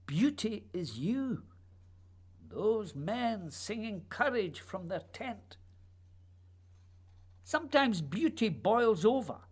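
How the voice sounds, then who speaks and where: A man's voice: high voice, fairly bright tone, husky, heavily acted. An actor playing a lonely, remorseful playwright mourning his lost friend, in a theatrical, sighing radio drama monologue. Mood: overcome, fervent.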